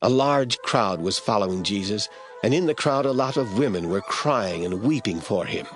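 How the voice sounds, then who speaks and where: A man narrating scripture in a radio drama, reading in a steady, measured way. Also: fairly low pitch, soft background music.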